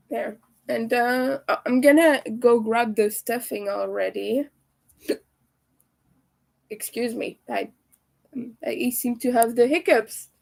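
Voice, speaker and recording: A young woman with a raspy, slightly hoarse voice talks to her livestream viewers while she works on her crochet. Her voice sits a little high and has gravelly fry in it. She speaks slowly and stays chatty and relaxed with her viewers. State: hoarse from streaming a lot. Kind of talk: casual chat while crocheting live.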